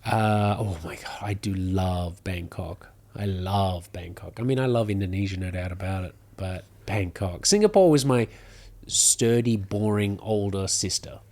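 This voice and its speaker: A man's voice: low voice, a little slow, few pauses, darkish tone. A man in his thirties with an Australian Brisbane accent, a podcast guest telling his story in an upbeat way and laughing easily. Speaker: guest, content creator. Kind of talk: casual interview chat.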